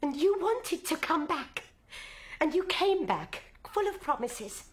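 Fiery, high-pitched nagging wife. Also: female voice, very animated, slow pace, exaggerated voice. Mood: reproachful. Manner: scolding, sarcastic, emotionally volatile. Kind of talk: dramatic stage-play acting.

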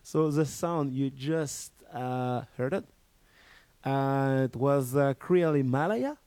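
A man's voice: medium pitch, slow, mellow, no room sound. A man from France with a French accent, a vocal artist introducing himself and his music in hesitant English. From France, family from Martinique.

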